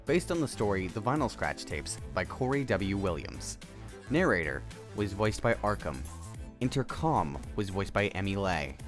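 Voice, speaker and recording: Lowish-voiced, animated man announcing credits. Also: normal speed. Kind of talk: scripted credits narration. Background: music.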